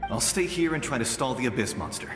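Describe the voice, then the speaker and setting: A man plays a selfless guardian in a game, calm and kindly. The mid-pitched voice is bathed in echo, with music behind it. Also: quick pace, close to an everyday voice. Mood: calm farewell. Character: gentle, selfless doomed male guardian spirit. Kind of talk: video game voice acting. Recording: long echoing reverb under music.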